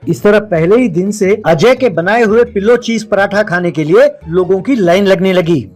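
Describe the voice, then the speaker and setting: Male storyteller for cartoon moral tales, high voice, light music behind. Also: moderate pace, a little mellow.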